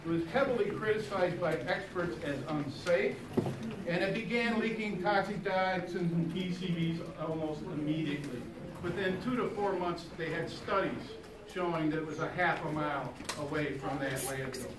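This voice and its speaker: A man with an American accent and a high-pitched voice lays out his case insistently, checking in after each point. He is recorded from a distance in an echoey room with noticeable background noise. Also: moderate pace. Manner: insistent, punctuates points with "okay?". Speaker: activist panelist. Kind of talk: explanatory public presentation. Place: crowded library meeting room. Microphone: camera in the audience, far off.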